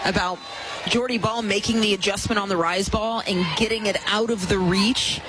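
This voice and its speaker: A woman giving emphatic, explanatory live softball analysis as a radio color commentator. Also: mid-range voice, steady tone, normal speed, noisy background.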